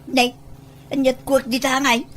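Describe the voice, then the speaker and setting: A woman's voice: high-pitched, little pitch movement, normal speed, a bit bright. A woman playing a cautious, easily embarrassed older relative in an audio drama, hesitant and mildly scolding. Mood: reproving.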